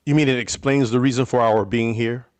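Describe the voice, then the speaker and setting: A man playing a mild, proper, observant gentleman adventurer in an old-time radio drama, measured and polite. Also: mid-range voice, fairly even intonation, close to an everyday voice. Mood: questioning. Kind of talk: vintage radio drama acting.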